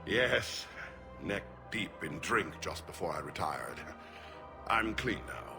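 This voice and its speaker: An actor playing a weary, dutiful older alien general in a video game, speaking gravely and earnestly. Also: male voice, sits low, sing-song, slow, music in the background, heavily acted. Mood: grave, resolute. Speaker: military general. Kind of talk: dramatic video game acting.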